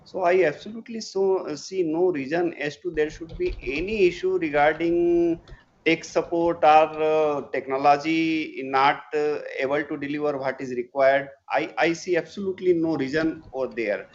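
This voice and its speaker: A man with an Indian accent answering as a company executive on an earnings call, in a formal, measured way that repeats words for emphasis. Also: fairly high pitch. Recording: muffled conference call audio.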